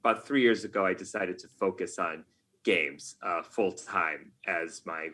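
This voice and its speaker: A man's voice: sits low, measured pace, pushed and bright. A man talking casually as an interview guest, telling stories in a jokey, self-deprecating way. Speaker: podcast guest, game writer.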